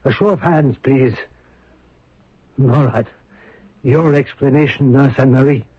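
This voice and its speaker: An actor playing an impatient, authoritative French Monsignor in a radio drama, with a brisk manner. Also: male voice, mid-range voice, breathy edge. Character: commanding, impatient French Monsignor leading the resistance. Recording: muffled old radio broadcast.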